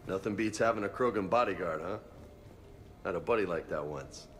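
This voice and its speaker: An actor playing an easygoing, chatty marine in a video game, with a casual, rambling way of talking. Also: male voice, deep voice, a little fast, slight background noise, close to an everyday voice. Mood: relaxed, chatty.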